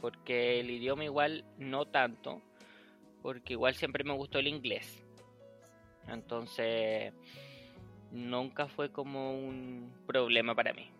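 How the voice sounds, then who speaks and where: A man's voice: mid-range voice, fairly even intonation, slow, highs cut off, faint music behind. A young man from Chile chatting casually as a podcast host, acting out old conversations and laughing often. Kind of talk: casual chat.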